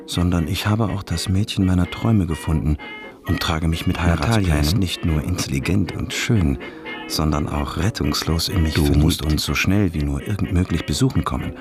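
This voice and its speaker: An actor playing an exuberant, boastful young student in love, speaking effusively in a radio drama. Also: male voice, deep voice, brisk, lightly acted. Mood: enthusiastic. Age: young adult. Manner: effusive, showy. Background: music.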